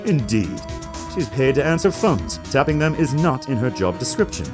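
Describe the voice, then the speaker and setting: A man's voice: mid-range voice, very animated, moderate pace, natural voice. Guy doing a super pompous arrogant ceo from a game dub, voice swoops all over, bit of music behind. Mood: haughty, dismissive. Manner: pompous, grandiose, mangles big invented words. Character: pompous, smug male company president, flamboyantly arrogant. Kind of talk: theatrical video game fan dub.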